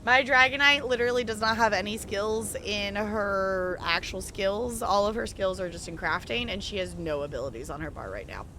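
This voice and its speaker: A woman with a bright, forward voice at an average pitch talks casually while streaming a game. She rambles and hesitates, thinking aloud, with some noise behind her. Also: normal speed, few pauses.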